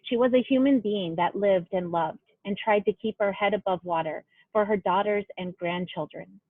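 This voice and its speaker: A woman's voice: medium pitch, normal speed, no room sound. A woman actor reading a written memorial tribute in a solemn style, heard through a tinny, band-limited connection.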